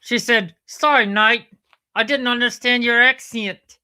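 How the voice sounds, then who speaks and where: A man's voice: very high-pitched, steady tone, measured pace, pushed and bright, clear, resonant tone, pitched above the performer's own voice, full character voice. A man voicing a touchy, whiny platypus puppet in comic banter, exasperated and emphatic, repeating himself. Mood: complaining.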